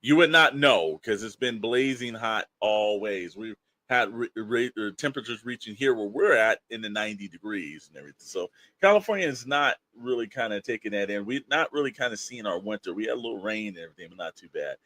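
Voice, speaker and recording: A man's voice: on the low side, expressive, brisk, pushed and bright. An American man co-hosting a podcast in casual, rambling talk peppered with verbal tags like "you know". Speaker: podcast co-host.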